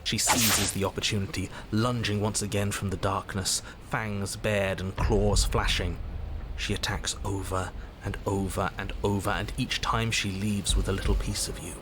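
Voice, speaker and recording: A man playing the grave narrator of a horror game, telling events in measured, dramatic, ominous prose. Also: on the low side, little pitch movement, background noise, close to an everyday voice. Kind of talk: dramatic scripted second-person narration.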